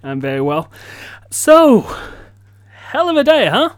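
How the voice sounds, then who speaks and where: A man hosting a radio show with lively, jokey, mock-dramatic banter, close on a studio microphone. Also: extremely high pitch, takes it slowly, no echo.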